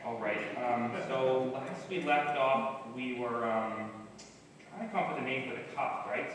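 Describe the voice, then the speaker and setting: A man's voice: mid-range voice, a little slow, fairly bright tone, lightly acted. A man playing a put-upon comedy-writing partner in a stage play, hesitant, dry and exasperated. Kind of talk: live one-act stage comedy acting. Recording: echoey hall, distant mic, faint noise.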